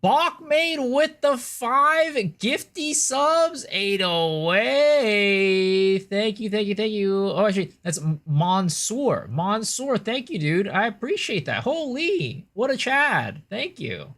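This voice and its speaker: A young man with an American accent talks through his game at a home desk mic, his pitch swinging up and down a lot. He chats loosely, muttering to himself, then jumps into loud, excited thanks for viewers. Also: takes it slowly, hardly any pauses. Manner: chatty, thanks viewers with big excited bursts. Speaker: livestreamer. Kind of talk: casual gameplay commentary.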